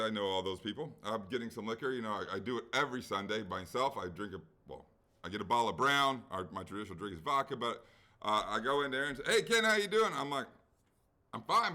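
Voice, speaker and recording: This is a middle-aged man chatting on a podcast, winding through a long anecdote. His voice has a bright, tense edge, and he jumps into the voices of the people he quotes. He swears freely and keeps checking in with "right?". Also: mid-range voice. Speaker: podcast co-host. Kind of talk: casual chat, long anecdote.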